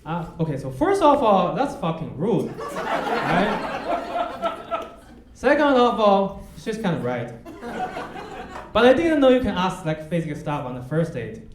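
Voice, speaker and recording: Young man with a Chinese accent doing dry, self-mocking stand-up, bouncy and slightly high, in an echoey club with a noisy crowd. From China. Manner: deadpan, self-mocking, with pauses for laughs. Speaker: stand-up comedian. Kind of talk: stand-up comedy set. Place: comedy club stage. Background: audience laughter.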